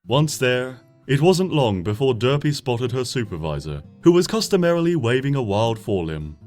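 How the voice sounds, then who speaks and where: A man reads a storybook aloud with a lively, swooping delivery, his pitch leaping up and sinking low from phrase to phrase. His voice sits in a middle register. Soft plucked guitar music plays faintly underneath. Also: normal speed. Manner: expressive, swings between bright and hushed. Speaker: fanfiction reader. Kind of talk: storybook narration.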